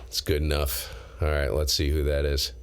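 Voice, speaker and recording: A man's voice: extremely low pitch, completely flat delivery, moderate pace, slight background noise. A man running a ham radio livestream, mixing casual chat with radio calls. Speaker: amateur radio operator live-streaming a contest. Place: cold basement radio room.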